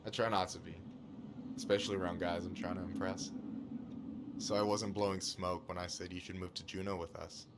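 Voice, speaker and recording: An actor playing a friendly, open-hearted young man, talking warmly and casually in a naturalistic video game style. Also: sits low, little pitch movement, brisk, noisy background. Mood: warm, earnest. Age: young adult. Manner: warm, casual, earnest.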